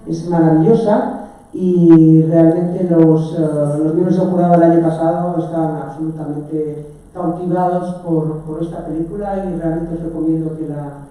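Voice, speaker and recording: A woman with a Spanish accent moderates a festival talk, speaking in halting English with many fillers. Her voice is low and dark. She sounds distant in a very reverberant auditorium. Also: steady tone. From Spain. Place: large auditorium. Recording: echoey hall, distant mic.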